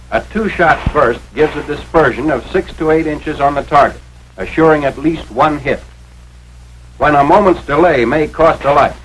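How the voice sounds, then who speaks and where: A man's voice: on the high side, fairly bright tone, a little hiss or hum, low-quality recording. An American man reading scripted narration for a 1940s military training film in an authoritative, instructional manner, on a vintage film soundtrack.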